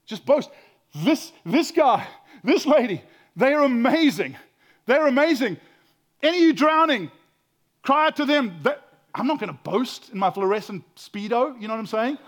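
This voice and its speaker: Pastor guy preaching, high voice, goes from whispering to shouting, stammers a lot. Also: sing-song, moderate pace. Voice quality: loud voice. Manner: swings from hushed whispers to loud exclaiming, stammers repeats. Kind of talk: sermon.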